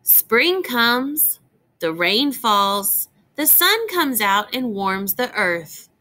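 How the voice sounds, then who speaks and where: A woman teacher reading a picture book aloud to young children, expressive and clearly enunciated, pausing to explain and ask questions. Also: a little high.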